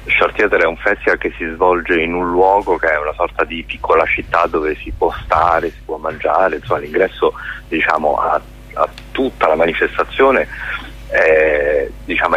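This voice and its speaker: A man speaking as a guest in a radio interview, talking in a halting way with many fillers and restarts. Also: low voice, a bit bright, breathy edge. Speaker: festival director guest. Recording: dull lo-fi radio sound.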